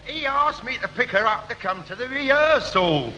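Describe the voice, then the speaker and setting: Bluff English corporal on guard duty, put-upon, forceful tone, slight hiss, vintage radio comedy. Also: male voice, very high voice, sing-song, normal speed, muffled recording, full character voice. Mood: puzzled. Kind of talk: 1960s radio comedy acting. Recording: hissy 1960s radio recording.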